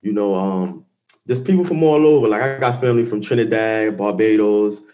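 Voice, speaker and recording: A man's voice: fairly low pitch, normal speed, dark tone. A man from Guyana, a rapper guest talking in a laid-back casual way. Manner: laid-back, says "you feel me" a lot. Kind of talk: casual interview chat.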